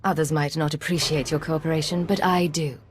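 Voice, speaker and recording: A woman's voice: low-pitched, steady tone, brisk, a little hiss or hum, close to an everyday voice. A woman playing a stern, commanding agent in a video game, speaking in a cool, firm and threatening way. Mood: firm, businesslike. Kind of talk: video game character acting.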